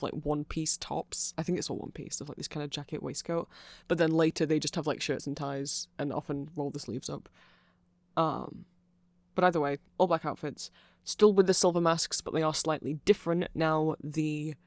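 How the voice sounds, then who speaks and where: A man with a slightly high voice talks in a casual, rambling ASMR explainer style. He sounds nerdy and keen on his subject, and the recording is close and dry with little room sound. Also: no room sound. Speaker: ASMR audio creator.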